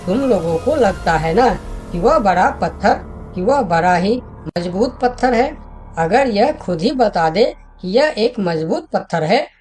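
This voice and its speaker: A woman's voice: mid-range voice, darkish tone, close to an everyday voice. A woman voicing a clever, cheeky male monkey in a cartoon story, confident and easily exasperated, explaining things animatedly. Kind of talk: Hindi cartoon story dialogue.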